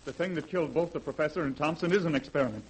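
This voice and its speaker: An actor playing a principled scientist who talks in an earnest, urgent way, in 1940s radio-drama style. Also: male voice, on the high side, racing, a little hiss or hum, close to an everyday voice, low-quality recording.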